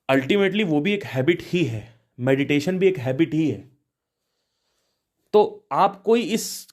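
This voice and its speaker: A man of thirty hosting a podcast, musing aloud on spiritual ideas with restarts and rhetorical questions. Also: on the high side. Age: 30s. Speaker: podcast host. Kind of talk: reflective spiritual talk.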